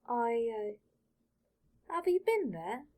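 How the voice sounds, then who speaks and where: A woman voicing a skinny, nervous, eager eleven-year-old wizard boy in an audiobook, with halting, questioning delivery. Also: on the high side, big pitch swings, darkish tone, exaggerated voice. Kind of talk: audiobook character voice.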